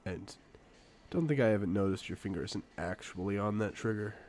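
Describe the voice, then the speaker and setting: A man playing a suave, confident secret agent in a spy audio drama, speaking in a playful, theatrical, deliberate way. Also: lowish voice, darkish tone, a little hiss or hum, natural voice. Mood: wry, composed. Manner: smooth-talking, playful, theatrical, deliberate phrasing. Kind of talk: scripted one-sided audio roleplay, spy drama.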